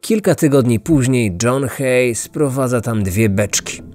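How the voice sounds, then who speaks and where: A man hosting a true-crime podcast, reading a scripted story in a measured storytelling manner. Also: medium pitch, lively intonation, darkish tone, no room sound. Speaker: podcast host and narrator. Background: music.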